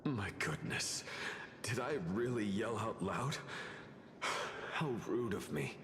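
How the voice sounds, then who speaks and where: A man's voice: on the low side, expressive, slow, fairly bright tone, slight background noise. An actor playing a jittery, polite male spirit in a video game, speaking in a formal, theatrical way. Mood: apologetic. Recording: game music behind voice.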